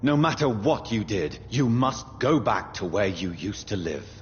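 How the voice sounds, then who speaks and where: A deep-voiced, earnest gentleman doctor. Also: sing-song. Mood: earnest, persuasive. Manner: formal, measured, courteous phrasing. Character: earnest, well-bred male doctor, troubled gentleman investigator. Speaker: video game character actor. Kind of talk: dramatic video game dialogue. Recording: muffled, long reverb, noisy game audio.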